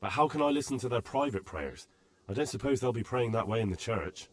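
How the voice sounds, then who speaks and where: An actor playing a young, pragmatic medieval investigator in a video game, plain-spoken and full of direct questions. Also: male voice, mid-range voice, animated, fairly quick, close to an everyday voice. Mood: questioning. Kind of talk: video game cutscene acting. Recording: clear music behind the voice.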